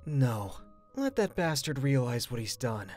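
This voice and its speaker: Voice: medium pitch, animated, slow, soft background music. An actor playing a weary young older-brother hero with a dry, resigned manner in an anime comic dub.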